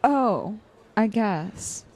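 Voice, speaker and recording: Woman acting a low-key young student. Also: animated, extremely slow, a little mellow, poor audio. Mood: curious, tentative. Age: young adult. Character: young female college student, reserved, curious superpowered investigator. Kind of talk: naturalistic audio drama dialogue.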